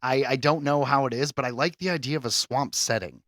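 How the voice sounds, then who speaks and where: A man's voice: mid-range voice, brisk, fairly bright tone, no echo, studio quality. A man hosting a pop culture quiz on a podcast in a casual, chatty style, announcing questions and verdicts theatrically. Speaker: podcast host and quizmaster.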